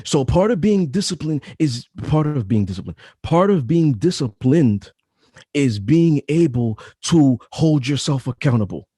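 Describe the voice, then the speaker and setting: A man with a deep voice, a guest author talking casually in an interview, emphatic and slangy, often repeating his phrases. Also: lively intonation, a little slow, mellow, husky, no echo. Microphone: home mic setup.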